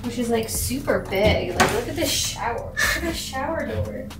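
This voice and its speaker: A woman's voice: fairly high pitch, expressive, moderate pace. A young woman showing off a cruise ship cabin in a bubbly, casual vlog, filmed on a handheld camera. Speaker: vlogger. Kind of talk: casual travel vlog chat. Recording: background music over the voice.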